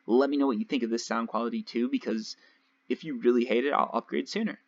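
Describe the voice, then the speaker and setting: A young man casually commentating his gameplay nonstop, his voice a little raspy from long talking. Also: medium pitch, steady tone, fast talker, slight background noise. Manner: chatty, rambling, nonstop talk with fillers. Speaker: let's play host. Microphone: home desk mic. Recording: dull lo-fi home mic.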